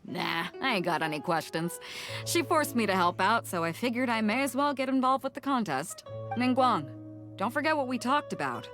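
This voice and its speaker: A woman's voice: a little high, very animated, normal speed, music in the background. A woman voicing a bold, blunt female ship captain with a casual, slangy way of talking. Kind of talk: game cutscene voice acting.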